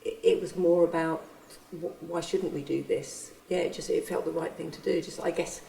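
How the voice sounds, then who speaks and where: A woman earnestly recounting her experience of hosting, pausing and restarting as she goes. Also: fairly low pitch, fairly even intonation, creaky, mellow. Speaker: church member and refugee host. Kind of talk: reflective testimony. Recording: roomy, from a distance.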